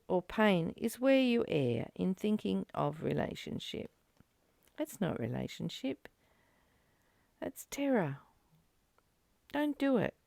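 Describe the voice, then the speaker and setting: A woman's voice: mid-range voice, gravelly, warm tone, no room sound. A woman giving a spiritual teaching, reading aloud and reflecting in an earnest, emphatic manner. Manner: earnest, emphatic, with rhetorical questions and repeated phrases. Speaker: spiritual teacher leading a study of a course text.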